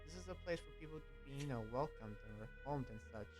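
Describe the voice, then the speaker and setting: A man's voice: medium pitch, expressive, fast, music in the background. A young man talking in character during a roleplay game, hesitant, with many fillers and restarts. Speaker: roleplay player and channel host.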